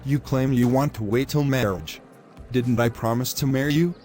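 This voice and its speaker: A man's voice: mid-range voice, moderate pace, a little mellow, faint music behind, natural voice. A man playing a pushy, selfish young boyfriend in a scripted moral story, speaking in formal, wordy, insistent phrases. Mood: insistent, aggrieved.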